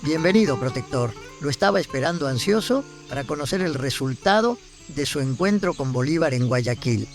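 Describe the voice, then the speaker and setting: Deferential, anxious minister. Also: male voice, on the high side, lively intonation, moderate pace, faint music behind. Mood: eager, concerned. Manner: formal, respectful. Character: deferential, anxious male government minister of the 1820s. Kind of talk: historical audio drama acting.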